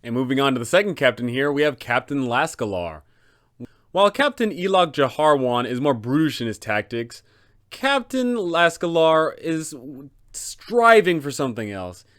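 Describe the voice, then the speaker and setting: A man's voice: on the high side, big pitch swings, moderate pace, fairly bright tone. A man hosting an explainer for game masters, giving guiding commentary on role-playing. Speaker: host, tabletop game guide.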